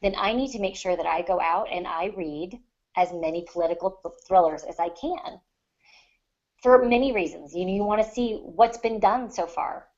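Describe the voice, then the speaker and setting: A woman's voice: mid-range voice, moderate pace, darkish tone. A woman co-hosting a podcast in casual discussion, giving writing advice in an emphatic, explanatory way. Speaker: podcast co-host, fiction writer. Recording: dull lo-fi online podcast audio.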